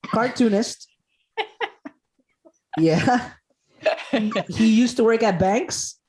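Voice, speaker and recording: A man's voice: extremely high pitch, very slow, a little mellow, a little hiss or hum, low-quality recording. A man talks as a guest on a podcast. He tells stories with enthusiasm, cracks jokes and laughs easily. He often restarts his words as he goes. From Costa Rica. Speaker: guest comedian and speaker. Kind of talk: animated podcast storytelling.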